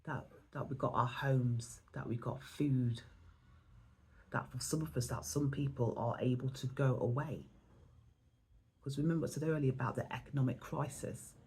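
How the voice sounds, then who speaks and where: A woman from England, hoarse and losing her voice, talking in a reflective, rambling way as she gives an oracle card reading in a spiritual vlog. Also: a bass voice, fairly even intonation, normal speed, a little mellow, rough recording.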